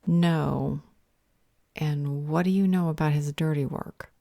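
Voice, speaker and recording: A woman playing a stern, protective vampire healer in an audio roleplay, speaking in a measured, firm and earnest way. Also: deep voice, steady tone, gravelly, mellow, no room sound, clean studio sound, heavily acted. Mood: grave, guarded.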